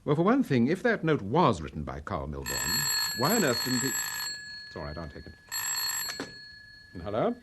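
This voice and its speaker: An actor in a radio drama playing a suave, courteous, unflappable gentleman detective with a polite, probing manner. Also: fairly low pitch, huge pitch swings, a little slow, gravelly, long pauses, noisy background, full character voice. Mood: brisk, thoughtful.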